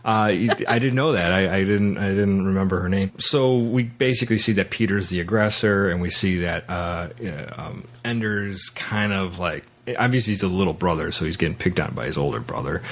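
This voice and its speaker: A man with a low-pitched voice speaks as a podcast host. His delivery is casual and conversational, rambling a little and halting as he pieces his thoughts together. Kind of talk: casual film discussion.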